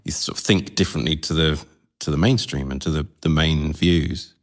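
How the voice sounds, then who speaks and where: A man's voice: extremely low pitch, normal speed. A man hosting a podcast, asking questions in a conversational way and often affirming with short replies. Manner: encouraging, frequent short affirmations. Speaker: podcast host. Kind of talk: conversational interview.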